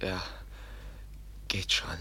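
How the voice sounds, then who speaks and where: A man's voice: extremely slow, bright, forceful tone, full character voice. An actor in a German radio drama playing a wounded young man, weak and in pain, gasping out broken, clipped sentences.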